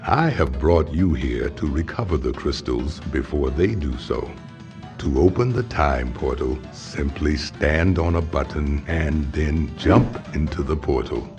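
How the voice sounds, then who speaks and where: A man's voice: rumbling low, lively intonation, a little slow, music in the background. A man voicing a wise, ancient guardian spirit in a video game, speaking in a solemn, grave, fatherly manner. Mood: solemn, guiding. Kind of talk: video game cutscene voice acting.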